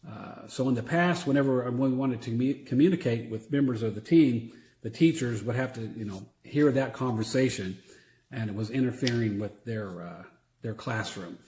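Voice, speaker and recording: A man giving a casual, unscripted product demonstration in a halting, plain-spoken way. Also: medium pitch, darkish tone, a little hiss or hum. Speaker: product reviewer; church security team member. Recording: roomy echo.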